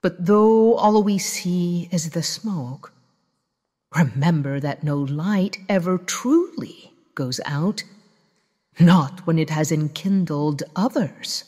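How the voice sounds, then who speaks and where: A woman playing a solemn healer who leads a memorial service in an audio drama, reciting slowly and reverently with long reverb. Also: medium pitch, darkish tone.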